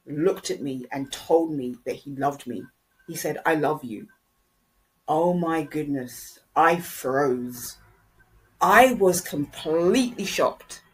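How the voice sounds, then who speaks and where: Brief: low-pitched woman, candid podcast storyteller, blunt delivery. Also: low-quality recording. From Jamaica. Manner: blunt, candid, repeats phrases for emphasis. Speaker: podcast host. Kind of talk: reflective commentary and personal storytelling.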